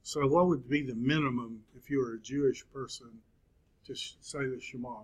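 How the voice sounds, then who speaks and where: A man in his fifties teaching a Bible class, speaking slowly with frequent pauses and fillers. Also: mid-range voice, fairly even intonation, breathy edge. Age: 50s. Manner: slow, halting, many fillers and pauses. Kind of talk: classroom lecture.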